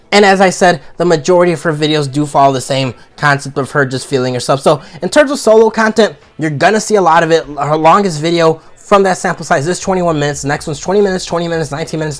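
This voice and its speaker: A man with a froggy, sore-throated voice from being sick, giving a blunt, profane comedic review in a casual monologue. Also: fairly high pitch. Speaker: video reviewer.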